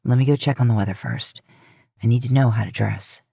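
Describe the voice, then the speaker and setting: Woman voicing an easygoing, low-pitched older brother. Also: fairly quick, gravelly, darkish tone, slightly rough, no echo, studio quality, heavily acted. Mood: encouraging, practical. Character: easygoing adult man, affectionate teasing older brother. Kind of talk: audiobook character dialogue.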